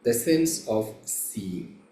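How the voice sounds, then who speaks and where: A man with a fairly low voice leads a guided meditation in an echoing room. He speaks very slowly, setting out each instruction in short, measured phrases. Long pauses separate one phrase from the next. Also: lively intonation, warm tone. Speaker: meditation teacher. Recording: roomy, echoing room sound.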